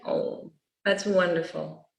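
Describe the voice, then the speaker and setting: A woman moderating a panel interview, asking halting questions that often restart mid-sentence. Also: on the low side, steady tone, gravelly. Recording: echoey room.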